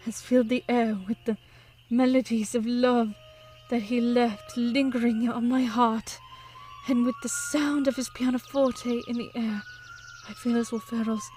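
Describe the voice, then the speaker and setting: A woman's voice: a little high, level tone, a little slow, mellow, faint music behind, natural voice. A woman playing an older, devoted, grieving widow of a composer, telling her story formally and wistfully in a period audio drama. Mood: tender reverence.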